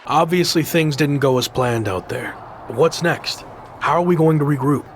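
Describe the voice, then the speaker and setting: Cocky young male wrestler, played by an actor, higher voice. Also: moderate pace, slight background noise, lightly acted. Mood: puzzled. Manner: assertive and argumentative. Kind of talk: scripted video game cutscene acting.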